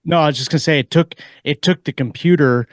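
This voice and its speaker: A man co-hosting a podcast in casual discussion, building his points haltingly in short pieces. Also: fairly high pitch, dry recording, clean studio sound. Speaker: podcast co-host.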